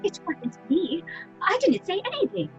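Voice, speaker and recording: An eager, nervous young actress, new and earnest. Her high voice swings expressively through an audio drama, slightly muffled in sound, with light music behind. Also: huge pitch swings, moderate pace, full character voice. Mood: protesting. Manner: apologetic, earnest. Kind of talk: dramatic audio fiction acting.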